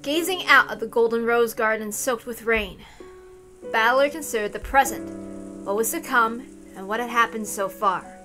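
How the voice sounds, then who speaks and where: Bright, high-voiced woman reading a story aloud. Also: moderate pace, faint music behind. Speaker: reader of a visual novel for an audience. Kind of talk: storybook-like narration of a visual novel, read aloud.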